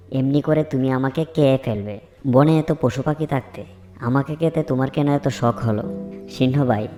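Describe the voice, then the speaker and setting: A man's voice: mid-range voice, close to an everyday voice. A man voicing wary forest animals, a crow, a fox and a heron, in a fable cartoon. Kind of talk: children's fable cartoon dialogue. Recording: loud music under the voice.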